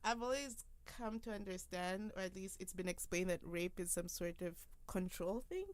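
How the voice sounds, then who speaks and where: A young woman hosts a relaxed podcast chat, her voice sitting at an ordinary middle pitch. She works through her ideas aloud, explaining at length and thoughtfully. She grew up in Africa. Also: normal speed, no echo. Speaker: podcast host. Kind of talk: casual podcast conversation.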